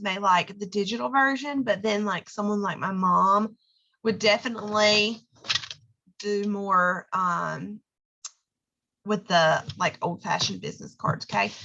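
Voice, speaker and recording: Hoarse chatty woman, bright voice. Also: mid-range voice, muffled recording. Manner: chatty, lots of fillers and "okay?" check-ins. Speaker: direct sales consultant. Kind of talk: casual how-to talk.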